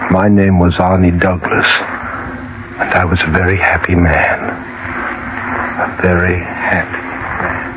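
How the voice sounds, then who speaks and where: An actor playing a brooding, obsessive man with a theatrical, emphatic delivery in 1950s radio horror style. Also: low-pitched. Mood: haunted, bitter. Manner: theatrical, intense, emphatic repetition. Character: obsessive fire-fascinated young man, haunted, fervent, brooding. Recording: noisy muffled old AM radio recording.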